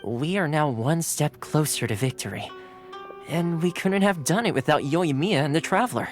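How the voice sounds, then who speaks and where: A cheerful young male retainer voiced by a man speaks politely and easily, with a very lively, swinging pitch. It is anime-style game acting, with faint music underneath. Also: normal speed, close to an everyday voice. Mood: thoughtful.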